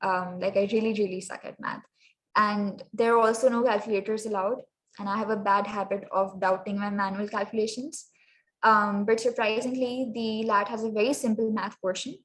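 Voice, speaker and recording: A young Pakistani woman presents a workshop in a relaxed, chatty way, her English carrying a clear Pakistani accent. Her voice sits at a middling pitch, and she leans on lots of ums and uhs, now and then dropping into Urdu. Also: moderate pace, poor audio. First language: Sindhi. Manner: chatty, many fillers, slips into Urdu phrases. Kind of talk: casual presentation.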